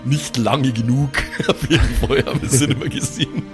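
A man's voice: mid-range voice, steady tone, moderate pace. A man bantering casually as a player in an improvised tabletop role-play game. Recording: background music under the voice.

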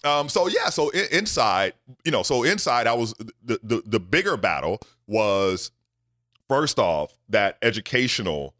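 A middle-aged man from the United States, a scientist guest telling his story in an animated way, often checking in with "right?". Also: on the low side, measured pace, no echo, clean studio sound. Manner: animated, with many repeated "right?" asides. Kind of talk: storytelling in a podcast interview.

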